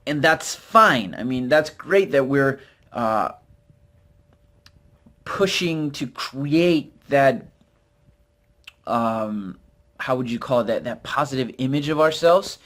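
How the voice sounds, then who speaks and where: A man's voice: mid-range voice, expressive, slow pace, long pauses, fairly bright tone. A man from Utah with an American accent talks casually and candidly as a vlogger, in a hesitant, self-deprecating, rambling way with informal slang. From Utah, United States. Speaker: vlogger, network marketer. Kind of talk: casual, candid talk to camera.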